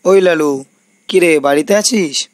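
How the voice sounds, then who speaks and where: A man's voice: fairly high pitch, a little slow, no room sound, lightly acted. A man voicing a pleading young village football teammate with an informal, questioning manner, in a cartoon.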